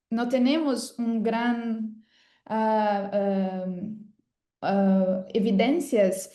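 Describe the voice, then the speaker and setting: A Brazilian woman and native Portuguese speaker asking a question in Spanish over a video call, hesitant and full of drawn-out "ah" fillers. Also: fairly high pitch, dark tone, roomy sound. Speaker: course participant asking a question.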